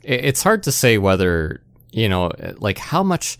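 A man's voice: fairly low pitch, sing-song, unhurried, clean tone, dry recording, studio quality. A man co-hosting a podcast, casually discussing video games and often checking in as he makes his points. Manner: conversational, punctuates points with rhetorical check-ins. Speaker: podcast host.

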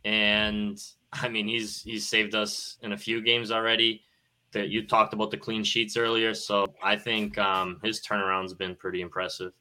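A man's voice: lowish voice, monotone, moderate pace, sharp tone, low-quality recording. A man talking casually and earnestly about his team on a podcast, with the odd swear word. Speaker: podcast panelist. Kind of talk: casual sports chat.

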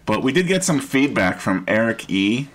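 A man co-hosting a casual podcast chat, with a gruff voice and a stammering, hesitant way of talking. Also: mid-range voice, big pitch swings, normal speed. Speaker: podcast co-host.

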